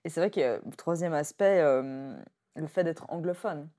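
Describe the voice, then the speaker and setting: Woman host, low voice, chatty and thoughtful, keeps saying en fait. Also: normal speed, mellow. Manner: chatty, reflective, laughs easily, leans on "en fait". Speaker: podcast host. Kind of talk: casual podcast conversation.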